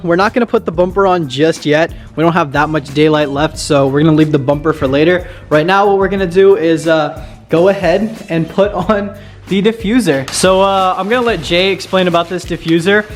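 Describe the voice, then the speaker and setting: A young man with a higher-pitched voice talks through a car install for his vlog. He is casual, slangy and excitable, and keeps saying "dude." Music plays under his voice. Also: brisk. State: voice getting hoarse. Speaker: car vlogger. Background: background music.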